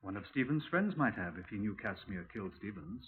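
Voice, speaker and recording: An actor playing an earnest, doubting police sergeant in a 1950s radio crime drama, husky, clipped and questioning, deferential to his superior. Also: fairly low pitch, big pitch swings, quick pace, full character voice. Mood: reasoning. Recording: muffled, narrow-band 1950s radio recording.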